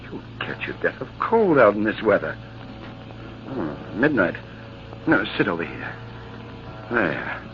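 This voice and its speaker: An actor playing a proud, honor-bound army captain in a theatrical, formal 1940s radio drama style. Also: male voice, fairly low pitch, sing-song, a little slow, long pauses. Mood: tender, anguished. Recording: muffled, noisy old radio broadcast.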